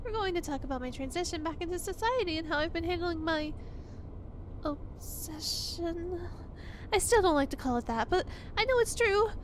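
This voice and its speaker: A woman's voice: extremely high pitch, animated, normal speed, a little hiss or hum. A woman playing a clingy, obsessive young girlfriend in an ASMR roleplay, sweet, gushing and emotional, with an apologetic, hesitant way of talking. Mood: earnest, clingy.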